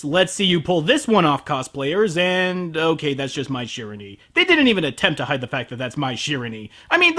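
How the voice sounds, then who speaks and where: A man's voice: high-pitched, pushed and bright. A man hosting a comedic anime review, sarcastic and profane, ranting at the show.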